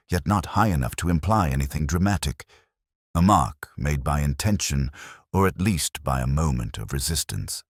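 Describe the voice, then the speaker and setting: A man voicing a brooding, literate police inspector who recounts a case in measured, reflective and formal scripted narration. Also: rumbling low, dry recording, studio quality, full character voice. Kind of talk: scripted first-person detective audio drama narration.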